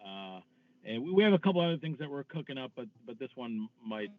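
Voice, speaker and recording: A man's voice: mid-range voice, very animated, quick pace, a little hiss or hum. A man with a Detroit accent, a filmmaker speaking hesitantly in a casual interview. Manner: hesitant, lots of fillers. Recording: tinny phone-like line.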